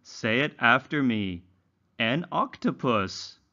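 A man's voice: medium pitch, lively intonation, takes it slowly, bright, forceful tone, lo-fi sound. A man teaching young children in a read-along lesson, enunciating carefully with exaggerated, instructional intonation. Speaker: English teacher for young learners.

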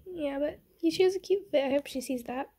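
A woman's voice: high-pitched, a little fast. A young woman casually commenting on her game for her channel, chatty and rambling, with a slightly stuffy nose from a cold. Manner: chatty, rambling, easily excited. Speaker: gaming channel host.

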